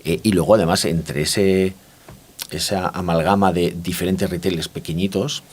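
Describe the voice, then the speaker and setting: A man's voice: low-pitched, fairly even intonation, normal speed. A man from Barcelona with a Spanish accent from Spain, explaining his views reflectively as an interview guest and often checking for agreement. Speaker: interview guest, consumer market expert. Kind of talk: conversational interview, explanatory talk.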